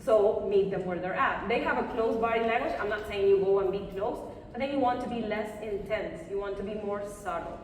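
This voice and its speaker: A woman public speaking coach giving a live conference talk, expressive, encouraging and direct in her advice. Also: medium pitch, fairly quick, few pauses. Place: conference session room before a live audience. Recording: very echoey hall, far microphone, faint noise.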